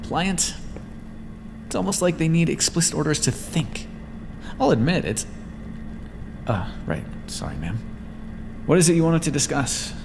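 A man's voice: on the high side, normal speed, gravelly, noisy background. A man playing a clinical, smug vampire scientist in an ASMR roleplay, teasing and condescending as he muses aloud to a captive. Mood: nervous, deferential. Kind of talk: ASMR roleplay, intimate scripted monologue.

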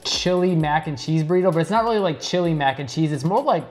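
A man's voice: a little high, animated, quick pace, darkish tone, faint music behind. A man in his forties chatting casually and enthusiastically as co-host, full of fillers, on a lapel mic in a small studio. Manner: enthusiastic, rambling, lots of fillers. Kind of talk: casual chat.

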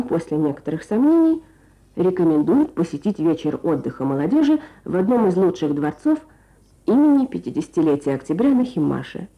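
Woman radio presenter, very mellow tone. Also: lowish voice, low-quality recording. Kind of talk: scripted Soviet radio narration.

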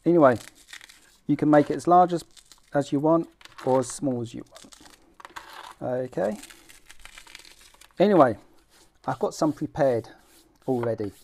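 A middle-aged man with a British accent hosting an informal homemade how-to vlog, talking in a halting, self-deprecating way as he works. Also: on the high side, darkish tone. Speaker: fishing vlog host. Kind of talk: casual how-to demonstration. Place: small room at home, indoors.

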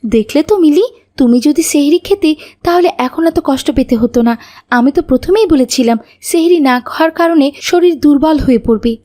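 A woman as a high-pitched, earnest older-sister cartoon girl. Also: moderate pace, dark tone, close to an everyday voice. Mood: concerned, chiding. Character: sensible, caring, preachy girl, an older sister.

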